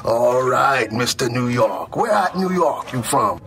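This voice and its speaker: A middle-aged American man playing a stern, mocking army drill sergeant, barking intimidating, deliberate lines in a comedic roleplay. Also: mid-range voice, very animated, fairly bright tone. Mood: amused, mocking. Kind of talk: comedic military ASMR roleplay.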